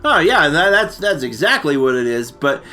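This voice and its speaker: Animated, bright-voiced man recapping a movie. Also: on the high side, soft background music. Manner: rambling, halting, slangy. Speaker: comedy storytelling co-host. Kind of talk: casual comic movie recap.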